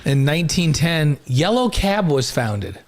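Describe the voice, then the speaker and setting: A man's voice: on the high side, sing-song, measured pace. A middle-aged man co-hosting a sports talk show, mixing casual banter with short read-out items. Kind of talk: casual banter reading on-this-day history items.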